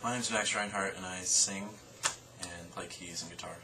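A man's voice: low-pitched, fairly even intonation, normal speed, background noise. A man in his twenties from Indiana, a band singer, joking offhandedly in a small room. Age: 20s. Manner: jokey, offhand.

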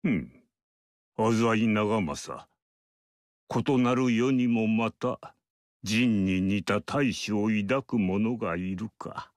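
An actor playing an ageless male mystic sage in a video game, speaking in a measured, archaic and lofty way. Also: lowish voice, no room sound, studio quality. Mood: aloof. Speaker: game character. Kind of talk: game dialogue acting.

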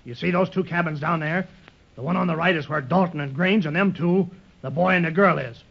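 An actor playing a rough, bossy, short-tempered villainous sailor with a gruff, salty way of talking, in old-time radio adventure style. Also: male voice, on the high side, brisk. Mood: scheming. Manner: gruff, salty uneducated seaman's talk. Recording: dull lo-fi old radio recording.

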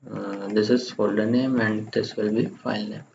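A man narrating a step-by-step software screencast in a halting, plain-spoken way. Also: lowish voice, level tone, gravelly. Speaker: tutorial presenter. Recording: muffled lo-fi microphone, faint background noise.

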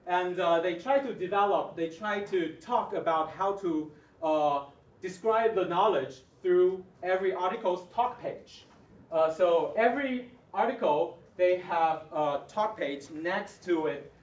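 Man, Mandarin accent, high voice, halting, workshop presenter, distant echoey room sound. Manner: halting, hesitant delivery. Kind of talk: live demonstration talk to an audience. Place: large conference room, distant camera without microphone. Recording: echoey room, far from the microphone.

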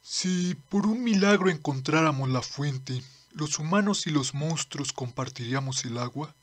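A man's voice: fairly high pitch, expressive, a little slow. A man voicing a cautious old monster sidekick in a manga dub, wary and questioning.

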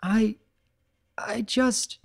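A man's voice: sits high, steady tone, very slow, gravelly, voice pushed up, heavily acted. A man doing an anxious young pony mare. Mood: distraught. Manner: hesitant, fretful.

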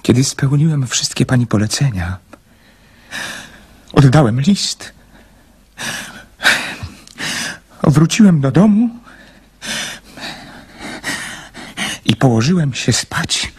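A shy young dreamer hopelessly in love in a radio play. His voice is mid-pitched and very husky, and he speaks earnestly, stumbling and hesitating over his phrases. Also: lively intonation, darkish tone. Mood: wistful. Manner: halting, stammering, earnest. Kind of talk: radio drama acting.

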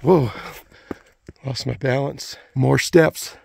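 A man in his sixties, out of breath and husky, chatting to himself in folksy exclamations as he vlogs outdoors on a phone. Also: mid-range voice, slow, darkish tone, a little hiss or hum. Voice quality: husky, gravelly. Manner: folksy, exclaiming, talking to himself in short bursts. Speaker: travel vlogger. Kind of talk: casual hiking vlog narration. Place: outdoors on rocky trail. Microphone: handheld phone at arm's length.

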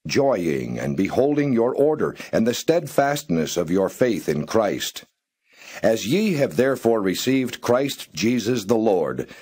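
A man giving a plain, measured reading of scripture, phrase by phrase. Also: mid-range voice, darkish tone, breathy edge. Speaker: scripture reader.

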